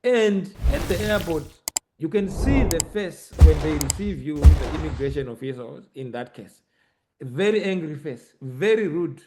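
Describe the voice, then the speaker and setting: Man, Zimbabwean accent, slightly high-pitched voice, podcast host, conversational delivery. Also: animated, moderate pace, very noisy. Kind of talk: conversational economics podcast discussion.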